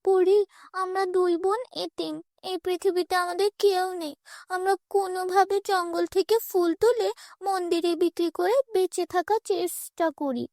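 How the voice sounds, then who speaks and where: A woman's voice: extremely high pitch, steady tone, normal speed, a little mellow, no echo, studio quality, voice pushed up. A woman playing a cautious, fearful poor girl, the elder orphan sister in a children's fairy-tale cartoon. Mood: apologetic, earnest.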